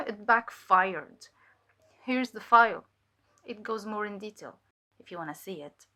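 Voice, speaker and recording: A woman playing a fearful, guilt-ridden cult infiltrator giving halting, emotional testimony in an audio drama. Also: mid-range voice, bright, forceful tone, slight background noise. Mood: uneasy, urgent.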